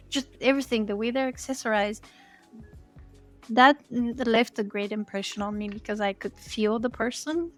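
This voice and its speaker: A woman hosting a podcast interview in a casual, effusive, gushing way. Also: fairly high pitch. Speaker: podcast host interviewing game creators.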